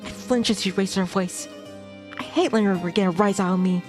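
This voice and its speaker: A woman's voice: medium pitch, brisk. Woman narrating a visual novel over music. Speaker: VTuber streamer reading a visual novel aloud. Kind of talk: dramatic first-person narration of a game script. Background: game soundtrack music.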